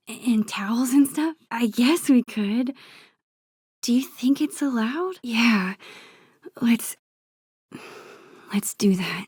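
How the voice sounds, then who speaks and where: A woman playing a soft-spoken, introspective teenage girl in a video game, wistful and hesitant, with a whispery voice. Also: a little high, slightly rough, no echo, studio quality. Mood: dreamy, eager. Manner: hesitant, gentle, musing to herself. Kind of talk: naturalistic video game dialogue and inner monologue.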